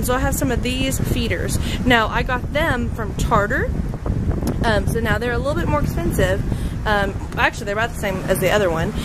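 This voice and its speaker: A woman in her thirties giving a casual, chatty walkthrough of her barn's horse stalls, full of ums, recorded on the handheld camera she is carrying. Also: fairly high pitch. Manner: chatty, frequent ums, filming as she walks. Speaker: barn owner reviewing horse stalls. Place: indoor horse barn aisle. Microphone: handheld camera mic. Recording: noisy handheld camera audio.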